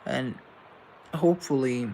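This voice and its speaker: Young male live host with a gravelly voice, earnest and rambling, over faint noise. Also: medium pitch, takes it slowly, warm tone. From Colombia. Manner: rambling, earnest, with self-deprecating asides. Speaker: live show host answering chat. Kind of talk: casual live-stream chat, reading comments aloud.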